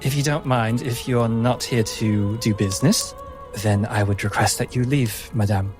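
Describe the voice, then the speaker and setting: Guy doing a proud french brothel madam in a tabletop game, soothing but flustered, pitched up a bit, music behind. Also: steady tone, moderate pace, close to an everyday voice. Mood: polite, firm.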